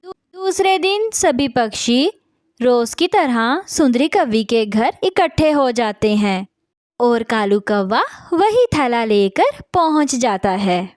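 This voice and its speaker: Woman reading a kids moral story, high voice, takes it slow, dry studio-ish sound. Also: animated, darkish tone, dry recording. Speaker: story narrator. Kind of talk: scripted children's story narration.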